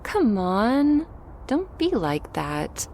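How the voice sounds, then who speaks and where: A woman's voice: on the high side, big pitch swings, very slow, a little mellow, a little hiss or hum, voice pushed up, full character voice. A woman voicing a playful, teasing newly turned female vampire in an ASMR audio roleplay, drawing out her words. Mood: playful, smug.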